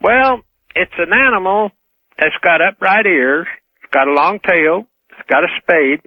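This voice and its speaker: A man calling a radio show, chatting casually in a halting, hesitant way. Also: sits high, dry recording. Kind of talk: casual phone-in chat. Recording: dull phone call on radio.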